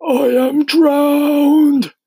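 A man's voice: extremely high pitch, little pitch movement, very slow, mellow, dry recording, pitched above the performer's own voice, exaggerated voice. A man voicing an old, dramatic grasshopper in a storybook, with strained exclamations. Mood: gasping, distraught. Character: old green male grasshopper, genteel and dramatic.

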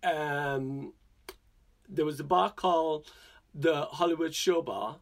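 A man in his thirties, a guest drag performer chatting casually with fillers and giggles. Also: on the high side. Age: 30s. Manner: giggly, self-deprecating, halting with fillers. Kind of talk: casual interview chat.

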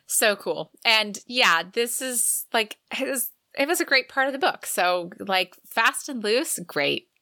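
A woman's voice: fairly high pitch, animated, unhurried, few pauses, sharp tone, no room sound, studio quality. A woman co-hosting a book podcast, mixing casual chat with a read-out synopsis. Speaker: podcast co-host.

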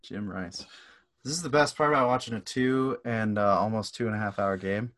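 A man co-hosting a podcast, chatting casually and joking, often slipping into quick made-up quotes. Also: fairly low pitch, fairly bright tone. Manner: joking, acts out quick silly voices. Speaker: podcast co-host. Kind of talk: casual chat.

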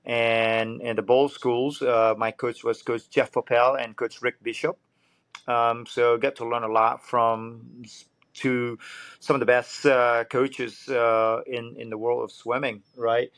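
A man's voice: medium pitch, flat delivery, measured pace, a bit bright, dry recording. A man in his thirties from Indonesia, speaking, is a swim coach guest telling his story earnestly and searching for words. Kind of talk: casual interview.

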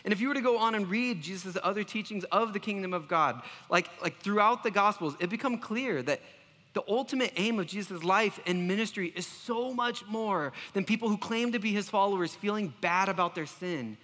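A high-voiced man preaches with an earnest, self-deprecating manner, often stumbling into restarts. His tone is bright and forward, and a long reverb trails behind his words. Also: brisk. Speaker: pastor. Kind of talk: sermon.

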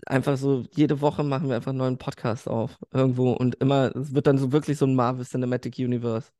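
A man's voice: medium pitch, level tone, quick pace, mellow, dry recording. A man co-hosting a casual podcast, joking, crude and laughing often. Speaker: podcast co-host. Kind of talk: casual chat.

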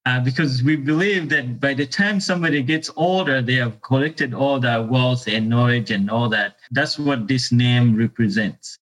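A man's voice: medium pitch, moderate pace, few pauses, a bit bright, rough recording. A man in his thirties from Uganda with a Ugandan accent, a business owner telling his story in an interview, hesitant, with many fillers and repeated words. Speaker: beverage business owner, guest.

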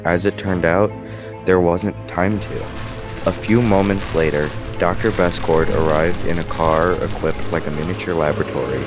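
A man playing a grave announcer and narrator, reading scripted narration in a 1940s radio drama style. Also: extremely low pitch. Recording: prominent music behind the voice.

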